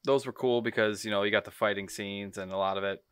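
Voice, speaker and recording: A man's voice: lowish voice, fairly even intonation, fast, a bit bright, smooth, dry recording, studio quality. A man co-hosting a podcast, explaining his views in casual conversation. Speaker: podcast co-host. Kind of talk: casual chat, explanatory discussion.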